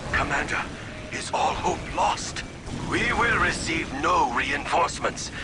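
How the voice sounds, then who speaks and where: A man's voice: a little high, animated, slow, noisy background. A man playing a desperate battlefield commander under heavy attack in a dramatic, urgent video game performance. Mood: urgent, grim.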